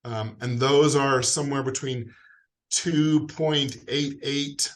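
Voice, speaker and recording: A man's voice: mid-range voice, fairly even intonation, slow pace. Friendly American man explaining at length. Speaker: guest expert. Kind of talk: explanatory interview answers. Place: video call.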